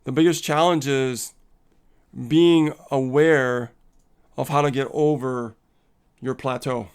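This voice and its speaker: A man's voice: medium pitch, fairly even intonation, takes it slowly, smooth. A man co-hosting a casual live coaching stream, upbeat and motivational, laughing often and reading viewer comments aloud.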